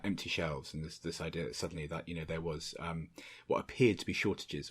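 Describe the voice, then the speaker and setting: A man's voice: sits low, breathy edge. A man, the interviewer, asking questions in a hesitant, rambling way. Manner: hesitant, many fillers and restarts. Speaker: interviewer, artistic director. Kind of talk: conversational interview.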